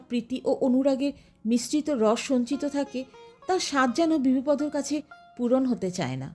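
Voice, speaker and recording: Female audiobook narrator. High voice, animated melodic delivery for literary fiction, music underneath. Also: breathy edge. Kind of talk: expressive literary story narration.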